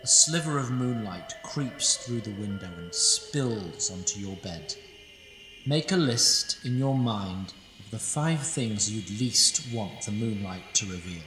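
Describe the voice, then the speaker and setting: A man's voice: lowish voice, lively intonation, slow, a bit bright, roomy sound, long reverb tail, far from the mic, faint music behind. A man narrating a fantasy audio drama as a wry, ancient sprite, with dry asides to the listener.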